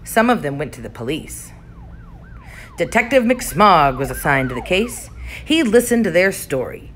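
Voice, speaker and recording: A woman reads a children's picture book aloud, slow and unhurried. Her voice sits a little low but rises and falls in a lively, animated way. A faint hiss sits under her voice. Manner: expressive, animated storytelling. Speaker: storybook reader.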